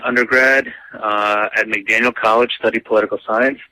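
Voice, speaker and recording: A man, answering interview questions in a relaxed way, full of "um" and "like" fillers. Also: fairly low pitch, normal speed, a little hiss or hum, poor audio. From United States (grew up). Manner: easygoing, lots of "um" and "like" fillers. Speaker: guest.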